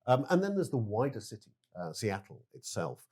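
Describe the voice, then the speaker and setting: A man explaining game design in an interview, speaking in deliberate, broken phrases with frequent hesitations. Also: medium pitch, big pitch swings, darkish tone. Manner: halting, deliberate, measured phrases. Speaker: game developer being interviewed.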